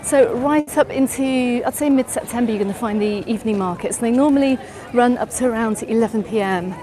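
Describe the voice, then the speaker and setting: A chatty middle-aged woman with an English accent, walking and talking on a live travel stream. Her voice sits a little high, and a busy crowd murmurs around her outdoors. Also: a little fast, low-quality recording. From England. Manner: chatty, answers viewers' chat questions as she walks. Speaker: travel vlogger. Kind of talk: live walking tour vlog. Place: outdoors on a busy beach promenade at dusk. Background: crowd chatter and passing traffic.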